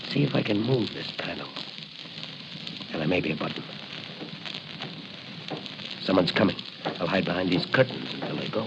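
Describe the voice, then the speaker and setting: A man's voice: low voice, sing-song, moderate pace, creaky, long pauses, full character voice. An actor playing a bold, determined masked crime-fighting patrolman, thinking aloud in clipped remarks in 1940s radio style. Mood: wary, intent. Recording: noisy, muffled 1940 radio recording.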